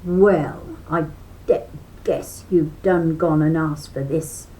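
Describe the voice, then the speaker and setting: Storybook part: a shrill, cheeky trickster rabbit who hollers, read aloud by an older woman in a squeaky raised voice. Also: steady tone, slow pace, warm tone, rough recording. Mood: angry. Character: cheeky, hot-tempered male trickster rabbit.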